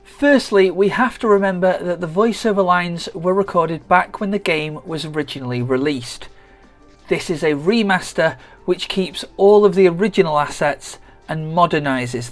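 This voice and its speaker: A gaming channel host giving a measured, explanatory, scripted narration for a video essay. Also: mid-range voice. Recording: music behind the voice. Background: background music.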